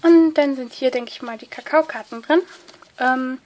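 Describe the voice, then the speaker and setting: A woman with a cold and a stuffy nose, chatting excitedly with lots of fillers as she opens and reads letters at a table. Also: high-pitched, normal speed. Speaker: vlogger opening a card-swap letter. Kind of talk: casual unboxing chat, reading letters aloud. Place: indoors at a table, hands-only overhead view.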